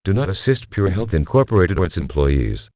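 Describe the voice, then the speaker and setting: Man acting a very low, solemn vigilante leader. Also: sing-song, fairly quick, a little mellow, no echo. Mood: solemn, determined. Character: solemn masked vigilante addressing the nation in crisis. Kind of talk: scripted emergency broadcast speech. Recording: dull, lo-fi broadcast sound.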